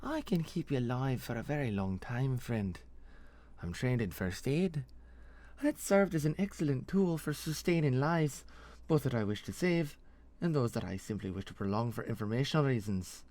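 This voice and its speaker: Man voicing a ruthless, mocking mercenary woman, raised pitch. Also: lively intonation, moderate pace, darkish tone, natural voice. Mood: coolly threatening. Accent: put-on foreign fantasy accent. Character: ruthless, mocking masked mercenary woman, sharp-tongued and menacing.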